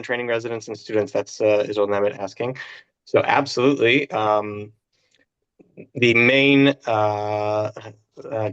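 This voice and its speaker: A man, a family doctor on a webinar panel, reading out a question and then answering it haltingly over a video call. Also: medium pitch, fairly bright tone, clean tone. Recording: dull lo-fi call audio.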